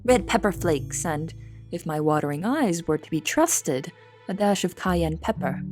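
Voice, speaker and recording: Casting: actress as reserved young female cook, lower-pitched, formal measured storytelling for audio drama, music underneath. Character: reserved, proud, observant 19-year-old female cook. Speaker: voice actor and narrator. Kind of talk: dramatic first-person scripted narration.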